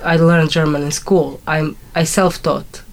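A woman's voice: deep voice, steady tone, measured pace, creaky. A woman from Croatia with a Croatian accent, talking conversationally as an interview guest in English, her second language.

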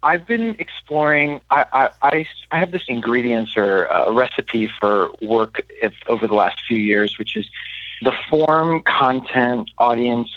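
Man, low voice, thoughtful halting interview guest, from Miami, dry slightly dull radio sound. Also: no room sound. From Miami, United States. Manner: thoughtful, halting, searching for words. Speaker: interview guest, playwright and mentor. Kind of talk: reflective interview talk. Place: radio studio.

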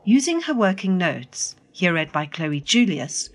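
A woman with a fairly low voice presents a scripted podcast introduction. She is formal and measured in how she speaks. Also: big pitch swings.

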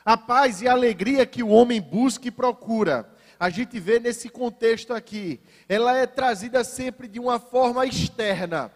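A man's voice: very high voice, moderate pace, in a large hall. A man from Brazil preaching a sermon to a congregation. He shifts his pace for emphasis and slips in ironic asides.

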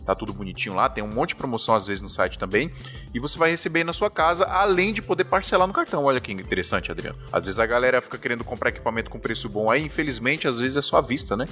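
A man's voice: mid-range voice, expressive, rapid-fire, bright, forceful tone, faint music behind. A man with a Brazilian accent hosting a podcast in a casual, slangy, jokey chat. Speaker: podcast host.

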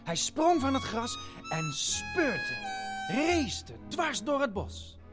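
A man narrating a family radio play as a theatrical storyteller, with dramatic pauses and hesitations. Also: very high voice, very animated. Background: music.

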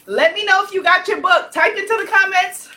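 A woman from Guyana hosting an online spiritual festival on a livestream, loud and energetic, leading chants and cheering on viewers. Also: very high voice, fairly quick. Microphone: phone or webcam on a livestream.

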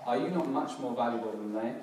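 A middle-aged man gives a laid-back sermon, his voice a little hoarse and crackly. He talks in a self-deprecating way, full of pauses and restarts, at an everyday pitch. He is picked up from a distance in a very echoey hall with background noise. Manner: relaxed, self-deprecating, hesitant with fillers and restarts. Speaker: preacher. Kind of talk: casual church sermon. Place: church hall, in front of a congregation.

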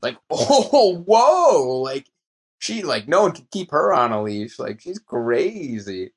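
A man co-hosting a casual film discussion, musing in a halting way and leaning often on "like". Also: a little high, sing-song, slightly rough. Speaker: film podcast co-host.

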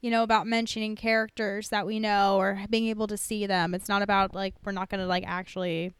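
A woman's voice: medium pitch, level tone, brisk, smooth, dry recording. A woman co-hosting a casual podcast chat, speaking informally with swearing and plenty of filler "like". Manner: informal, profane, heavy use of "like". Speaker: podcast co-host. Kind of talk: casual chat reviewing a TV episode.

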